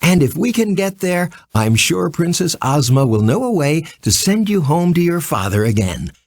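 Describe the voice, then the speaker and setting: A man's voice: a little high, big pitch swings, normal speed, darkish tone, voice pushed up. A man voicing an earnest, polite little girl in a children's storybook, exclaiming and sincere. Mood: eager.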